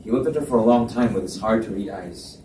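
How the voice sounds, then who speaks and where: A man narrates romance fiction at a live reading. His voice is lowish and soft-edged in tone. The mic is some way off, and a faint noise sits behind him. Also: fast. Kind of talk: scripted story narration. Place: library event space, indoors. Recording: echoey room, distant mic, faint noise.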